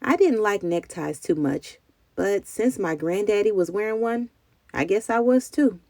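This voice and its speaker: A woman reading a children's story aloud in an expressive, deliberate storytelling style. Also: medium pitch, dry recording. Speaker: children's book reader.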